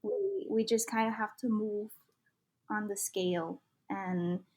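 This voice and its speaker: A woman's voice: medium pitch, slow pace, a little mellow, a little hiss or hum. A young woman from Vietnam, a native Vietnamese speaker with a Vietnamese accent, speaking earnestly and reflectively as a podcast guest. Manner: earnest, reflective, uses many fillers. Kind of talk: casual conversation.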